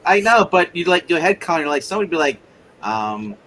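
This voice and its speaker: A man in casual tabletop game chat, narrating in a rambling, joking, animated way. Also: high-pitched, racing, a little hiss or hum. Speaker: player narrating in a roleplay game.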